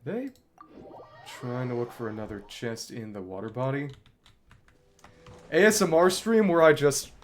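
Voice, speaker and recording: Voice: medium pitch, lively intonation, a little slow, long pauses, noisy background. A game streamer chatting casually over gameplay, thinking aloud in a wry way. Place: indoors at a computer.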